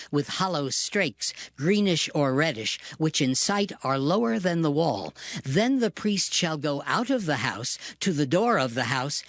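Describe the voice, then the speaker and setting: A man reading scripture as a narrator, with formal, deliberate phrasing in a scripted style. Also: fairly high pitch, no echo. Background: background music.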